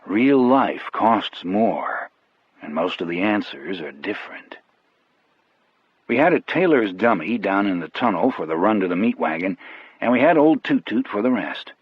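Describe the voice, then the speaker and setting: A man narrating an audiobook in the first person, telling the story in a measured, wry way. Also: on the low side, sing-song, husky. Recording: dry but muffled, dull audio.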